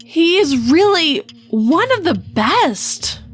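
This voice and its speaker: High, pleading art student, played by a woman. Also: slow, soft background music. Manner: pleading, overwrought. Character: earnest, melodramatic young art student, pleading and flowery.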